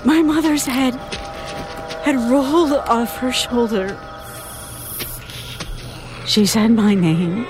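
Frightened young woman survivor, high voice, halting horror-drama monologue over prominent music. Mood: horrified, haunted. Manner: halting, haunted, with stammered repetitions. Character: terrified young female survivor recounting a horror.

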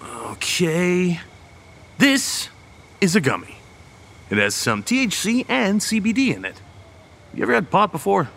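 A man's voice: high voice, very animated, measured pace, creaky, pauses often, fairly bright tone, exaggerated voice. A young man playing a cocky, goofy college student in an audio horror drama, flippant and casual. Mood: casual, impatient. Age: young adult. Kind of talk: radio horror drama acting.

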